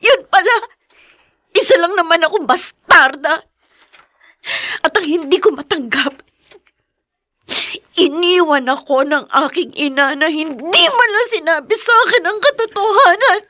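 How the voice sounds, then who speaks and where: A heartbroken young woman in a radio drama, cries out in bitter despair. Her voice is very high and bright. She speaks slowly, close and dry. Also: expressive, dry recording, heavily acted. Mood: hurt, bitter. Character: distraught young woman betrayed by family.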